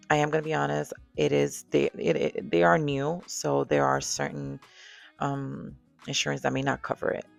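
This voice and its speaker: Podcast host, woman with a Dominican accent, very gravelly, candid and chatty, soft music underneath. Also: deep voice. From Dominican background. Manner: chatty, candid, lots of fillers and asides. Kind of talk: casual personal talk to camera.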